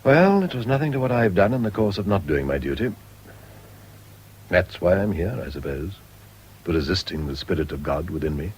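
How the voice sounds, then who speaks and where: An actor playing a philosophical, tender, resigned condemned prisoner in a radio drama, with a measured, reflective way of talking. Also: male voice, low-pitched, a little hiss or hum, rough recording. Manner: measured, reflective, literary turns of phrase.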